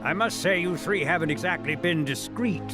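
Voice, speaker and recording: An actor playing a smug, theatrical mayor in a video game, with a pompous, sly, drawn-out delivery. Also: male voice, sits high, loud music behind the voice, natural voice. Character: theatrical, smug male mayor with secret loyalties. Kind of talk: dramatic video game acting.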